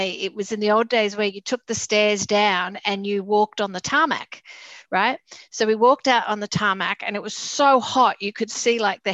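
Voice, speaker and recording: A woman from Australia in her forties with an Australian accent, a guest telling animated stories in a casual chat. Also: medium pitch, no echo. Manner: animated storyteller, laughs while telling. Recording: dull lo-fi sound.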